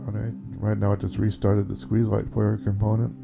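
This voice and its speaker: A man giving a casual, unscripted software walkthrough, explaining things step by step in an informal way. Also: lowish voice, monotone, moderate pace, creaky, music in the background. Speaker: tutorial presenter.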